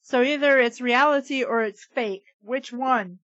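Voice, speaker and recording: A woman hosting a comedy reading of fan fiction, alternating deadpan narration with sarcastic asides and giggles. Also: on the high side, measured pace, dry recording. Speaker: reader and podcast host. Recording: dull lo-fi sound.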